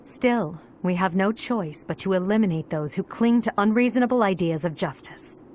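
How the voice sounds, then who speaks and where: A woman playing a composed, resolute young noblewoman in a video game, speaking in a measured, earnest, formal way. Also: medium pitch, slight background noise, lightly acted. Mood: grave resolve. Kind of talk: scripted video game voice acting. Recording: loud music behind the voice. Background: game soundtrack music.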